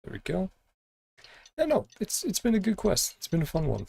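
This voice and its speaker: A woman's voice: lowish voice, huge pitch swings, normal speed, vocal fry, warm tone, slightly rough. A woman giving casual, giggly, rambling commentary as she plays through a game's story. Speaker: game streamer reacting to story quest. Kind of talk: casual playthrough commentary, reading on-screen text. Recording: game music faintly behind. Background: video game music.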